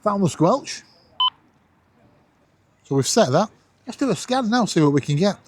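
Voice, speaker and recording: A middle-aged man with a husky voice, chatting casually and excitably as he hosts a vlog. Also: high-pitched, normal speed, noisy background. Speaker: vlog host. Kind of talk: casual chat to camera while testing a radio.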